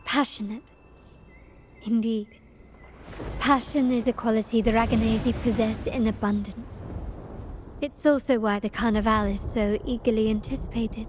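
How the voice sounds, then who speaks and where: A woman voicing a poised, enigmatic woman in a game cutscene, speaking in a measured, elegant and teasing way. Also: fairly high pitch, steady tone, background noise, lightly acted. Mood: reflective. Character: poised, enigmatic adult woman, knowing and cryptic. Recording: music score behind.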